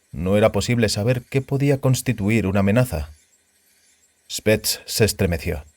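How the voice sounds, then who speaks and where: A man's voice: mid-range voice, a little mellow, no echo. A man reading a science fiction story aloud as a scripted audiobook narration.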